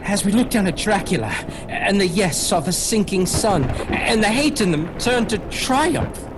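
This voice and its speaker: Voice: medium pitch, animated, a little slow, noisy background, lightly acted. An actor playing a shaken witness who recounts a horror in a radio drama, dramatic and vivid. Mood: shaken, intense.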